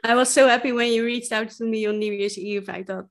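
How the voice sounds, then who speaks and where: A woman's voice: on the high side, fairly even intonation, fairly quick. Dutch-accented young woman, giggly. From Netherlands. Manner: laughs often, many fillers and restarts. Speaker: guest, clothing brand founder. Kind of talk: casual interview chat.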